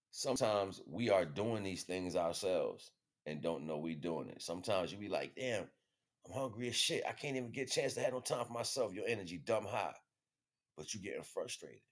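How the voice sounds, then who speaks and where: Very deep-voiced American man talking casually. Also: expressive, normal speed. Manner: slangy, profane, conversational. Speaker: livestream host. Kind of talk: casual spiritual monologue.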